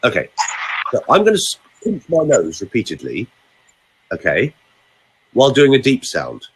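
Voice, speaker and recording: Husky vocal coach with swooping pitch. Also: male voice, moderate pace, poor audio. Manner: animated, swoops between high and low pitch, demonstrates sounds. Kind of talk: demonstrating voice exercises on a podcast.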